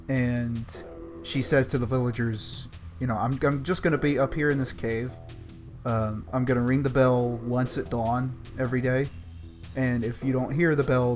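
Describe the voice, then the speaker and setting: A man with a mid-pitched voice retells a story casually, as a co-host would. His delivery is wry and colloquial, and music plays clearly behind him. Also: a little mellow. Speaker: podcast co-host.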